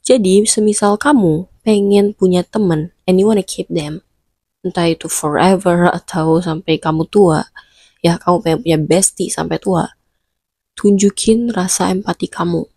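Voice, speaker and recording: A woman's voice: lowish voice, steady tone, moderate pace, darkish tone, husky, no room sound. Young woman with a stuffy nose. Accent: Indonesian. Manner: chatty, mixes English phrases, playful scolding. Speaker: vlogger. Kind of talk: casual advice vlog.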